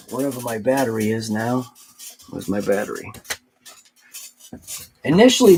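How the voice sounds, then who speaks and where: Male hobby-channel host, mid-high voice, loose rambling livestream delivery, chatting with viewers. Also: low-quality recording. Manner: rambling, thinks aloud, answers live chat. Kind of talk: casual late-night livestream chat.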